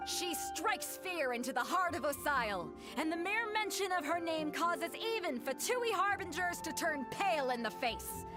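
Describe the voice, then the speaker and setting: Commanding game sea captain, high animated voice, theatrical announcer delivery, music behind. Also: female voice, normal speed, few pauses. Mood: rousing, proud. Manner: rousing, theatrical announcer delivery with frank asides. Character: bold, commanding woman sea captain hosting a tournament. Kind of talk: video game voice acting.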